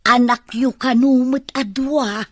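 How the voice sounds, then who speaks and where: A woman's voice: sits high, steady tone, takes it slowly, a bit bright, dry recording, studio quality, heavily acted. A woman acting a deferential, earnest older woman who confides in her boss, in an Ilocano radio drama. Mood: hesitant, earnest. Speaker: voice actor in an audio drama.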